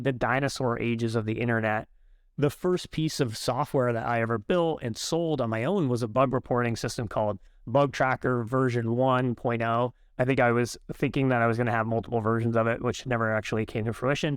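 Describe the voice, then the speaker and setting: A man's voice: mid-range voice, clear, resonant tone, no room sound, studio quality. A man hosting an interview podcast, asking questions conversationally with frequent fillers. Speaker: podcast host.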